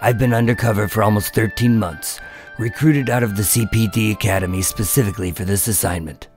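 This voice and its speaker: A man playing an undercover agent in a radio drama, telling his story with deadpan, wry tension. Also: on the low side, a little fast, faint music behind, natural voice. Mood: tense, wry. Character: wry, nervous undercover agent posing as mob enforcer. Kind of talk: first-person noir radio drama narration and dialogue.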